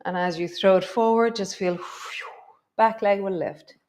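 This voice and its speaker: A middle-aged woman, gently guiding a yoga class with a slightly stuffy nose. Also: mid-range voice, steady tone, slight background noise. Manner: gentle, encouraging, drawn-out cues. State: stuffy nose from a coming cold. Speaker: yoga teacher. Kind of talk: guided yoga class instruction.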